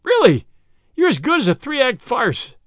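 A man's voice: high voice, big pitch swings, a little fast, dry recording, clean studio sound. A man voicing a flamboyant, boastful giant thief in a storybook, with a theatrical, taunting manner. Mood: gleeful gloating. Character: flamboyant, gloating giant master thief, male, boastful and mocking.

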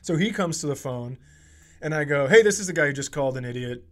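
A man hosting a comedy podcast, telling casual, profane stories in an animated way and acting out the quotes. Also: a little high, fast talker. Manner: animated, profane, acts out quotes in his anecdotes.

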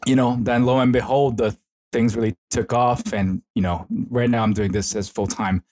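A man's voice: mid-range voice, steady tone, moderate pace, a little mellow. A middle-aged man from South Korea with a Korean accent, chatting informally as an interview guest in a hesitant, rambling way. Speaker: esports consultant and professor, interview guest. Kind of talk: casual conversational interview.

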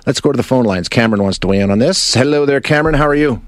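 A man's voice: mid-range voice, very fast, dry recording. Emphatic male radio host, dry studio sound. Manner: combative, emphatic, interrupts and repeats himself. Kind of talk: opinionated talk radio with callers. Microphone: close studio broadcast microphone.

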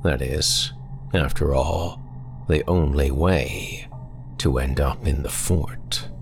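A man with an extremely deep voice delivers a scripted horror podcast introduction. He speaks slowly and deliberately, with a gravelly edge and a theatrical, ominous manner. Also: over music. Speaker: podcast host.

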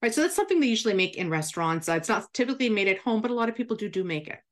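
A woman's voice: mid-range voice, lively intonation, rapid-fire. A woman guest giving cooking advice in a chatty, instructive radio style, often checking in with "right?". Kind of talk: explanatory radio chat.

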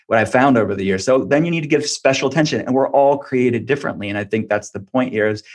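Male podcast guest, medium pitch, informal expert explainer in relaxed conversational phrasing. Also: fast talker. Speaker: functional medicine doctor, podcast guest.

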